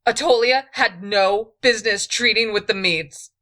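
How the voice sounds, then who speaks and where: A woman voicing a proud, hot-tempered young queen who snaps in a raised, sharp voice. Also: mid-range voice, measured pace, pitched above the performer's own voice. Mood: furious.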